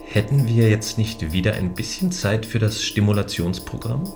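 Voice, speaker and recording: A man plays a male guardian on a space station, gentle and dutiful. His low voice explains things earnestly and considerately, with music underneath in a sci-fi radio play. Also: lively intonation, normal speed. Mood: wryly content. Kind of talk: science fiction audio drama acting.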